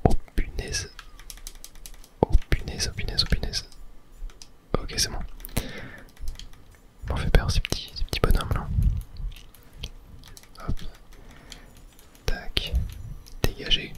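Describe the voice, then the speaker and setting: A man's voice: slow pace, long pauses, poor audio. Whispering man, gaming ASMR. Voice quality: breathy unvoiced whisper. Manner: casual, murmured reactions to gameplay. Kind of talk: whispered ASMR video game commentary.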